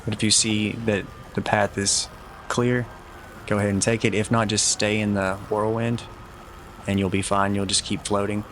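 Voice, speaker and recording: A young man giving relaxed, instructive commentary over a game walkthrough, easygoing and a little self-deprecating. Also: lowish voice, moderate pace, slight background noise. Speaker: gameplay guide commentator.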